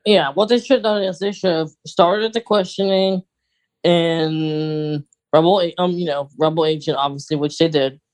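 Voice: medium pitch, steady tone, moderate pace, no echo. Casual podcast co-host, dry close sound. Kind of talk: casual chat, reacting and commenting.

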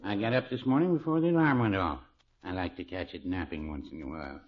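A man acting a mild, fussy older small-town pharmacist in a 1950s radio comedy, dry, folksy and deadpan. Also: sits low, quick pace, lightly acted. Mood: pleased, droll. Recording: dull old radio recording.

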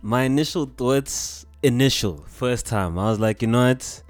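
A man from South Africa co-hosting a podcast, giving a measured, thoughtful opinion in a laid-back way. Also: medium pitch, no echo, soft background music. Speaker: podcast co-host. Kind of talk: casual chat.

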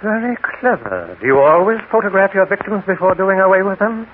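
An actor playing a menacing, smooth crime boss in a 1940s radio drama, sardonic and mockingly polite. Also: male voice, sits high, lively intonation, a little fast. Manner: sardonic, mock-polite, insinuating. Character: smooth, menacing male crime boss buying stolen photographs. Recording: noisy, tinny 1940s radio recording.

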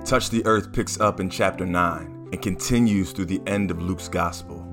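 A man's voice: low voice, moderate pace. A man, an author, giving a friendly, upbeat promotional spot for his book. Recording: voice over guitar music. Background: guitar music.

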